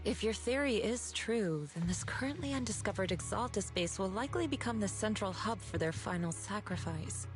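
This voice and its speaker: A woman voices an unflappable female temple master in a game, mid-pitched, proud and protective. She speaks with composed authority over clearly audible music. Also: lively intonation, moderate pace, few pauses, lightly acted. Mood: thoughtful.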